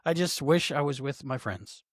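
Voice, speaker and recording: A man's voice: on the high side, animated, moderate pace, no echo, studio quality. A man co-hosting a podcast, rambling casually with lots of fillers and restarts. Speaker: podcast co-host.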